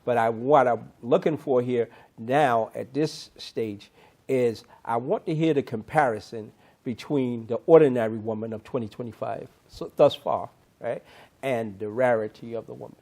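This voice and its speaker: A man's voice: mid-range voice, moderate pace, creaky, darkish tone, dry recording. A man hosting a talk show with emcee-style showman hype, repeating his openers. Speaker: host.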